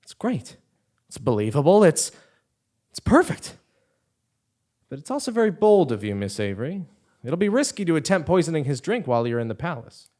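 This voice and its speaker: A man's voice: fairly high pitch, very animated, moderate pace, long pauses, mellow. A man playing an exasperated, defiant commoner who leads a scheme, emphatic and incredulous, in a comic stage audio play. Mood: scheming. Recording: live theatre stage recording.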